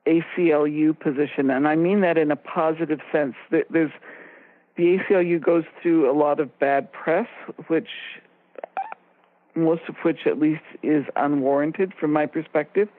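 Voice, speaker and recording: A woman co-hosting a radio discussion, explaining ideas in a measured way and stressing her key points. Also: deep voice, steady tone, dry recording. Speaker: radio show co-host and expert. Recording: narrow phone-like line, very dry.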